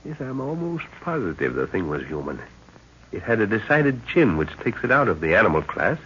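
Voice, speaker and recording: Deep-voiced, commanding old-radio expedition leader. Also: male voice, sing-song, a bit bright, slightly rough, heavily acted. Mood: assured, reflective. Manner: authoritative, deliberate, clipped orders. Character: seasoned, commanding male jungle expedition leader, calm and analytical. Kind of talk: 1930s radio acting. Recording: scratchy, muffled 1930s radio recording.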